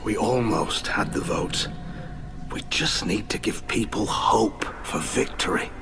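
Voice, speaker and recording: An actor playing a blunt, wry, middle-aged alien admiral and hawkish war veteran in a video game. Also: male voice, fairly low pitch, a little slow, natural voice. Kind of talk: video game dialogue acting. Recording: loud music behind the voice. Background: music.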